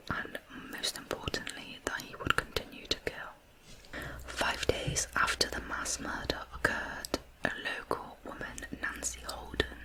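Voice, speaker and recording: Casting: whispered true crime narrator for ASMR, hushed and unvoiced, very slow, deliberate phrasing. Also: low-quality recording.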